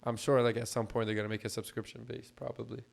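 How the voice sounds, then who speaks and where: A man co-hosting a laid-back podcast talks in a fairly level, even tone with little rise and fall. His voice is a bit hoarse and scratchy, like it's only just coming back. He explains things loosely, with plenty of fillers along the way. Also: mid-range voice, quick pace. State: hoarse, recovering lost voice. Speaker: podcast co-host. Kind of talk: casual podcast chat.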